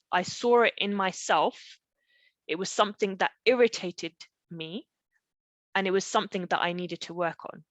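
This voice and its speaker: Female coach, middle-aged, mid pitch, conversational live teaching, frequent check-in questions, dry recording. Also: a bit bright, dry recording. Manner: explanatory, often checking in with "okay?" and rhetorical questions. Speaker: parenting coach leading a live online session. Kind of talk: conversational live webinar teaching with personal stories. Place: live online group session.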